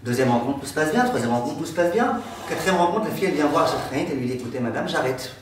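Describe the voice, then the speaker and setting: Animated rabbi teaching in an echoey room. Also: male voice, a little high, fast, distant mic, a little hiss or hum. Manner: animated, gestures broadly, jokes with the class. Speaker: rabbi teaching a Torah class. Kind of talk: lively storytelling lesson. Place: classroom with a decorated board, indoors. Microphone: far camera.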